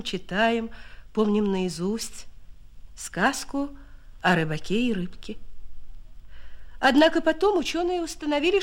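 A woman reading scripted radio narration in a storytelling way, with deliberate phrasing. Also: a little high.

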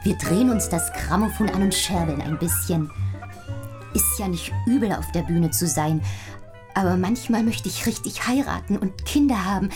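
A woman's voice: medium pitch, expressive, moderate pace, over music, close to an everyday voice. An actress playing a worldly, affectionate woman of the nightlife in a radio play, breezy and matter-of-fact. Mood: breezy, wistful. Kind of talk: German radio play acting.

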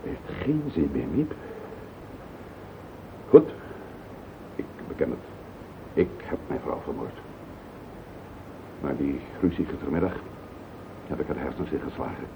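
An actor playing a hot-tempered, guilty husband who blusters indignantly in a Dutch radio play. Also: male voice, huge pitch swings, unhurried, vocal fry, pauses often, exaggerated voice. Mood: bitter, defeated. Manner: indignant, blustering, prone to outbursts. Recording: muffled phone-like old radio recording, loud rumbling noise.

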